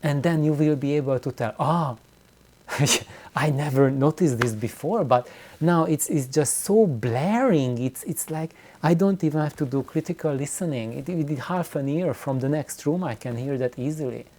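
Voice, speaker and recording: A middle-aged man giving an unscripted, reflective explanation to viewers, haltingly and with words repeated for emphasis. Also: fairly high pitch, darkish tone. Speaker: audio hobbyist video host.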